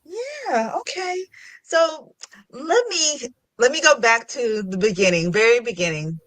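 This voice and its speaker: A woman's voice: on the high side, expressive, a little slow, a bit bright, no room sound. Chatty woman hosting a podcast, dry sound. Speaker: podcast host. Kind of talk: casual podcast chat and interviewing.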